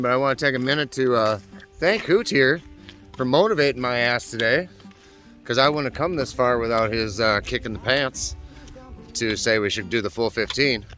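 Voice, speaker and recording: A man narrating his backpacking trip to the camera outdoors on a trail, chatty and self-deprecating, his voice going hoarse. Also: mid-range voice, bright, forceful tone. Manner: chatty, self-deprecating, addresses viewers directly. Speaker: backpacking vlogger. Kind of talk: casual vlog narration on the trail. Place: outdoors on a hiking trail by a creek.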